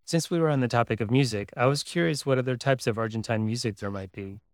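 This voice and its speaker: A man narrating the story and asking questions in a measured, plain delivery. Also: mid-range voice, no echo, studio quality. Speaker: host and narrator. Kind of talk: scripted narration and interview questions.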